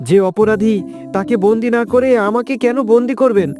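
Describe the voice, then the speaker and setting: An innocent village man, acted by a man, talks in a rapid, earnest rush. His delivery is pleading and melodramatic, in the style of a moral-tale cartoon, with music clearly behind him. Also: very high-pitched, steady tone, a little mellow. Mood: indignant, pleading. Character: innocent poor village man, indignant but helpful.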